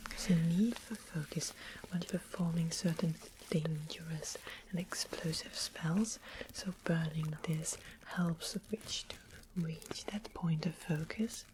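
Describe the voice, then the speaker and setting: A woman playing a sly, sweet-mannered witch in a whispered ASMR fantasy roleplay, explaining things slowly and deliberately, close to the microphone. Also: low-pitched, fairly even intonation, low-quality recording. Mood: calm, sly. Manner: slow, deliberate, savoring explanations. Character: sweet-smiling, sly witch of the west, fantasy potion-keeper. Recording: close whispered recording with constant background noise.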